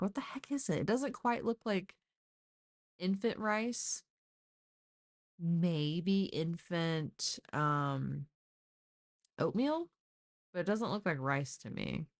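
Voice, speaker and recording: A woman talking casually and informally, as on a live true crime stream, with exasperated asides. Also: fairly low pitch. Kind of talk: casual live case discussion.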